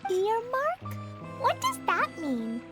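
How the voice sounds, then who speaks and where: A woman's voice: very high-pitched, huge pitch swings, slow pace, exaggerated voice. A woman voicing a cheerful, naive little girl mage in a video game, bubbly and eager. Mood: eager, innocent. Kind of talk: video game character dialogue. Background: music.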